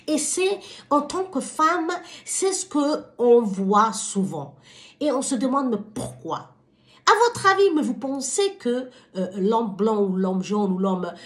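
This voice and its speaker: A woman from Somalia hosting her own wellbeing vlog, speaking to viewers in a hesitant but impassioned, exhorting way. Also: high voice. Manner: hesitant, stumbling over words. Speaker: channel host.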